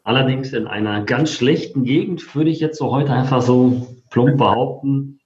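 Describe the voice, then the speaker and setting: A man's voice: mid-range voice, normal speed, darkish tone. Casual forty-year-old man in a roomy space. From born in Poland, grew up in Germany. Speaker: interview guest, affiliate marketer. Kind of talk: casual autobiographical telling. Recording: roomy, slightly dull sound.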